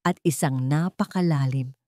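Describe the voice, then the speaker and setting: Female Filipino narrator for dramatic romance fiction, low register, close dry sound. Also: moderate pace, warm tone, no room sound. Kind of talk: dramatic scripted storytelling, radio drama style.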